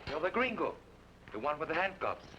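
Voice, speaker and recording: An actor playing a wary barber, speaking in a cool, measured way in a 1940s radio drama. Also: male voice, fairly high pitch, big pitch swings. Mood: guarded. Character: wary Mexican barber, adult man, shrewd and unafraid. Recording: dull old radio recording with hiss.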